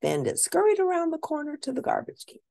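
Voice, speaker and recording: A woman reading a children's story aloud as an expressive storyteller, lingering deliberately on key words. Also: high-pitched, gravelly, no echo, clean studio sound.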